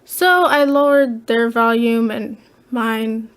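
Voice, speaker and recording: A soft-spoken woman hosting a casual gaming podcast from home, chatty and giggly. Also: fairly high pitch, takes it slowly. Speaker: podcast host.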